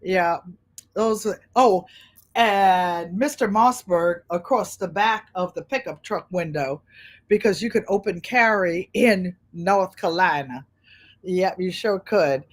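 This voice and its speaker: A woman's voice: fairly low pitch, slow pace. A middle-aged woman from Connecticut with a Connecticut accent, a quilting channel host who chats frankly and rambles while sewing. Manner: rambling, frank, talks to viewers by name. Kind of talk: chatty livestream talk while sewing.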